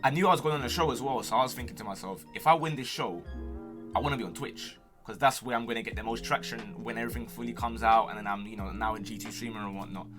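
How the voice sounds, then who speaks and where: A young man with a London accent talks quickly in a casual, slangy streamer style. His delivery is cocky and full of playful banter, at an ordinary male pitch. Music plays clearly behind him. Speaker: streamer and gamer answering viewer questions. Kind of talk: casual chat to camera.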